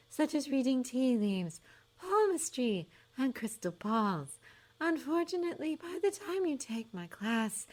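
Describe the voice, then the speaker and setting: A woman voicing a dramatic, eccentric older female seer with a slow, breathy, mystical delivery. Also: high-pitched, a little mellow, pitched above the performer's own voice, exaggerated voice.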